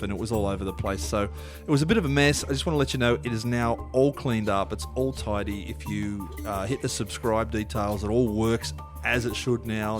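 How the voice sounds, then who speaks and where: Male podcast host, low-pitched, chatty and rambling, faint music underneath. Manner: loose and rambling, many fillers and restarts. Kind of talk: casual podcast interview.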